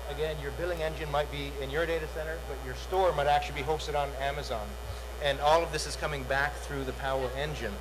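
Middle-aged man demoing software on stage. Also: a little high, fairly even intonation, quick pace, background noise. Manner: salesy, repeats words, many "uh" fillers. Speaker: product demo presenter. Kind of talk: conference tech demo. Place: large dark conference hall, on stage.

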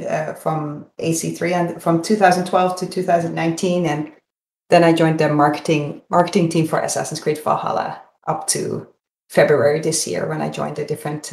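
Low-pitched, creaky woman. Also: darkish tone, slight background noise. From Netherlands. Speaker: podcast guest. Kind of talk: conversational interview answers. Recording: roomy, echoing sound.

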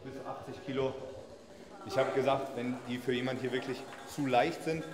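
A man's voice: medium pitch, steady tone, moderate pace. A man, chatting casually and colloquially as he gives a gym tour. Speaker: gym owner giving a tour. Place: indoor gym rooms. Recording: echoey hall-like rooms, music underneath. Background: music.

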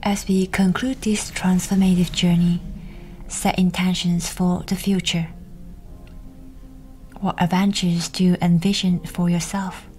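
A woman with a breathy, whispery voice leads a scripted guided meditation in a soothing, unhurried way, with pauses between instructions. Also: fairly low pitch, warm tone. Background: music.

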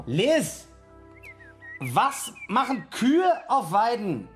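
Grumpy village cop writing his novel out loud, radio play, very swoopy pitch, keeps correcting himself. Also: wildly swooping pitch, soft background music, exaggerated voice. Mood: distracted. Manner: halting, self-correcting, pompous literary dictation.

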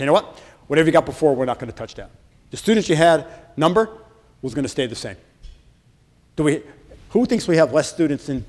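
A man's voice: medium pitch, darkish tone. Man presenting in a reverberant hall. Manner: casual, asks the audience questions. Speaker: state senator presenting. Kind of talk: conversational budget presentation. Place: large hotel function room with audience. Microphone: far camera. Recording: long reverb in a large room.

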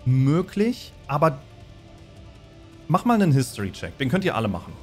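A man's voice: medium pitch, big pitch swings, normal speed, a little mellow. Explanatory male game master. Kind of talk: narrating and refereeing a tabletop game. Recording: faint music behind the voice. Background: faint music.

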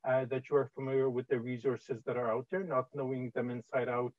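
A Canadian man in his thirties talks through training slides at a medium pitch, pausing often on "uh" as he explains. His voice comes over a video call, dry and slightly dull, with no room sound around it. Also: steady tone, a little mellow, no echo. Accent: Canadian English. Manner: many "uh" fillers, conversational. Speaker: webinar presenter on infection prevention.

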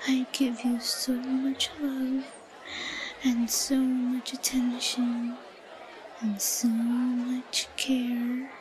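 Breathy, high-pitched obsessive shy schoolgirl played by a woman. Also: monotone, darkish tone, some chatter behind. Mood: giddy obsession. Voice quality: breathy, whispery. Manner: giggly, halting. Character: shy, obsessive, lovesick yandere schoolgirl, timid and possessive. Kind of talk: intimate roleplay audio, breathy murmuring to herself. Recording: noisy, crowded ambient background.

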